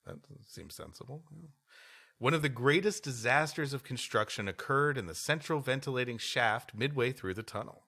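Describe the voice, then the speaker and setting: A man's voice: mid-range voice, expressive, normal speed, vocal fry, sharp tone. A man co-hosting a comedy podcast, reading history aloud in mock-solemn fashion and breaking off for improvised joking asides. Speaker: podcast co-host.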